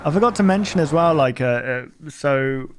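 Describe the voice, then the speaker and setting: A man's voice: fairly high pitch, lively intonation, moderate pace, background noise. A man hosting a reaction video in a casual, rambling, speculative way.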